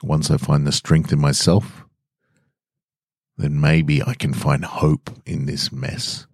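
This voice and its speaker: Deep-voiced Australian man reading stories. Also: steady tone, slow pace, vocal fry, slightly rough. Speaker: podcast host and story reader. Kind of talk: scripted narration of short stories with casual host remarks.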